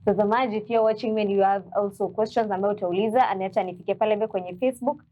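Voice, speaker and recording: A woman's voice: mid-range voice, rapid-fire. Kenyan woman host, tinny phone-like sound. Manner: steady listener, frequent short "mm-hmm" cues. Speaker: TV morning show host. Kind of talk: casual interview hosting, mixing English and Swahili.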